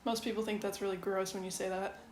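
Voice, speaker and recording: A woman's voice: mid-range voice, little pitch movement, fast, darkish tone, a little hiss or hum. Chatty woman in an echoey kitchen. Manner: chatty, wry, matter-of-fact. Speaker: quilting vlogger. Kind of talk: casual chatty tutorial. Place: home kitchen. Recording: echoey room with faint ambience.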